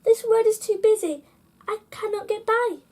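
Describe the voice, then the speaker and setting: A woman's voice: very high voice, moderate pace, a little mellow, pitched above the performer's own voice. A woman voicing a frail old lady who pleads with a sigh for help, in a children's storybook. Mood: pleading. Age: older. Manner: pleading, sighing.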